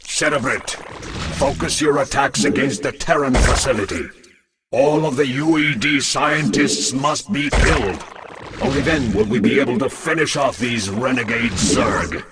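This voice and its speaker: A man playing a dutiful lieutenant to an alien queen in a video game, formal, measured and deferential. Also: medium pitch, background noise, lightly acted. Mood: formal briefing.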